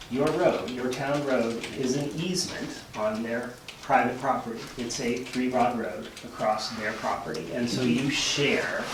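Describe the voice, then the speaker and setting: A man with a middling pitch argues formally and assertively in measured, lawyerly phrases. He is captured far from the microphone in a roomy space. Clear background noise sits under his voice. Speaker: attorney speaking for property owners. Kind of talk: formal argument at a public meeting. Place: indoor town board meeting room. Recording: roomy, distant mic, noisy hiss and clicks.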